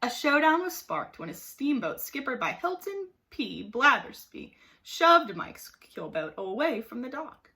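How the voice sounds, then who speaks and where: Female storybook narrator, teacherly, slightly high and bright, expressive with dramatic emphasis. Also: unhurried. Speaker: teacher reading aloud. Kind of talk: animated storybook narration for children.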